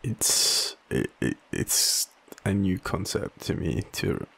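An Australian man playing a vulnerable, devoted young partner in an intimate audio roleplay, hesitant and halting, with a husky voice. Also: low voice, very animated, heavily acted. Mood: tender, nervous. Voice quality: husky, gravelly. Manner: hesitant, halting, soft-spoken confession.

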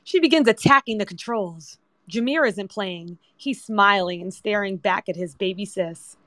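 A woman's voice: medium pitch, normal speed, fairly bright tone, no room sound. A woman narrator reading screenplay directions as scripted narration. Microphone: remote audio chat app.

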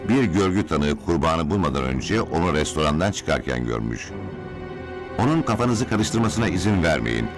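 A man voicing a gruff, skeptical older investigator in a dubbed cartoon, with an authoritative manner. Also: rumbling low, lively intonation, normal speed, over music. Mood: stern, skeptical.

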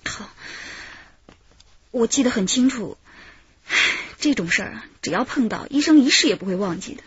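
Husky, chatty, self-pitying housewife. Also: female voice, on the high side, lively intonation, normal speed. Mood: animated, recounting. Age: 30s. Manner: rambling, gossipy, dramatic sighs. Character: chatty, self-pitying housewife of thirty-two, crime victim. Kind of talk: radio drama acting. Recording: muffled, roomy radio drama recording.

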